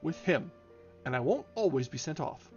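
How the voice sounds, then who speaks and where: A man's voice: a little high, animated, moderate pace, a little mellow, muffled recording, soft background music, lightly acted. A man voicing a lovesick, indignant young woman from a novel in impassioned, plaintive outbursts. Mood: petulant.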